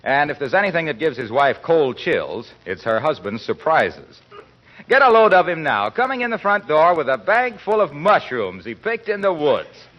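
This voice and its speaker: A male announcer from forties radio delivers scripted copy with smooth salesmanship. His voice is somewhat high and lively, heard through a muffled old recording. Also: normal speed. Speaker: radio announcer and sponsor pitchman.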